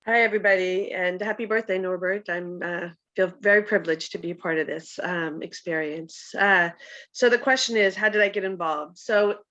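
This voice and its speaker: Dull lo-fi call audio, woman, slightly low voice, hesitant, casual, informal remarks. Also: fairly even intonation, moderate pace, fairly bright tone. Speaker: volunteer speaker at a nonprofit's online celebration. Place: online video call.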